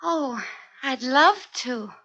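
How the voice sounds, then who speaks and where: An actress in an old-time radio drama playing a coy, agreeable sweetheart with a drawn-out, cooing delivery. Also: female voice, high-pitched, animated, highs cut off, exaggerated voice. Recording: vintage radio recording.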